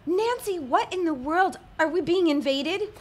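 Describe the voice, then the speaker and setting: A woman's voice: very high voice, animated, measured pace. An actress playing a composed, wry young upper-class woman in an audio drama, dry and guarded in manner. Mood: exasperated. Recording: background noise and ambience.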